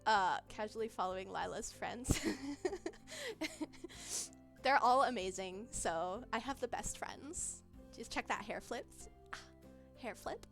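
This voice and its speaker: A young woman rambles through a casual livestream chat, giggling often and filling gaps with ums. Her voice sits at an ordinary middle pitch. Soft music plays faintly behind her. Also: takes it slowly, gravelly, long pauses. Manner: giggly, rambling, many fillers. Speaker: streamer. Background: faint background music.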